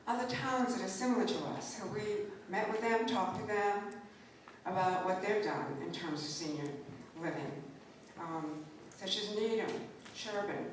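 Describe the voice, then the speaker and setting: A woman resident making a hesitant public comment at a town meeting, speaking with fillers and partly away from the mic. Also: medium pitch. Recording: very echoey hall, distant, audible noise.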